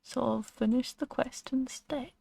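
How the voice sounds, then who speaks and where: A woman's voice: sits high, steady tone, measured pace, vocal fry, dark tone, slight background noise. A tired woman streaming a video game, muttering and whispering casual asides to herself. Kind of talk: casual gameplay commentary. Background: game music.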